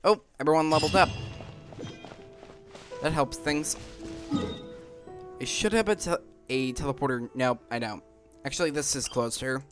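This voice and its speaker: A man's voice: medium pitch, normal speed, long pauses. A man giving casual gameplay commentary, rambling and thinking aloud as he hosts. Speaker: gaming video host. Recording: game music behind the voice. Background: video game music.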